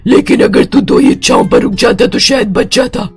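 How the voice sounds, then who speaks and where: Horror-story witch, acted by a man, husky, creaky, menacing, gloating, mocking, cackling. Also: sits high, huge pitch swings, faint music behind, heavily acted. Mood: menacing glee. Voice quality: raspy, cackling. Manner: mocking, drawn-out taunting. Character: gloating, menacing witch who taunts her victim. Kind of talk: Hindi horror story character acting.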